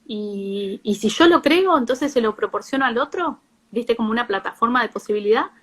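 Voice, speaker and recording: A woman psychologist with an Argentine accent, explaining informally in a live talk with a halting, self-rephrasing delivery. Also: on the high side, lively intonation. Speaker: psychologist, guest speaker.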